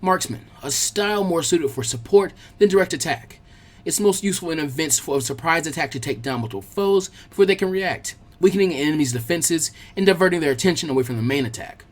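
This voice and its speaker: A man's voice: fairly high pitch, lively intonation, fast. A man reading a scripted story aloud as its narrator.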